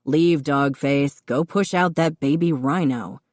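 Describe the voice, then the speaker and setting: A dry-witted, gruff grown man who answers in short, understated lines, pitched low and spoken slowly, as a woman narrator puts him on. The sound is close and dry. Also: a little mellow, dry recording.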